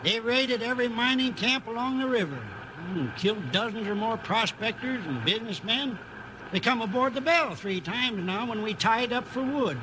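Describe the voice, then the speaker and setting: High-voiced folksy riverboat captain. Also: male voice, animated, moderate pace. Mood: grave. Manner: folksy nautical drawl, barks orders. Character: seasoned, folksy steamboat captain of the Old West. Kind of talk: 1950s radio western acting. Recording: noisy old 1950s radio recording.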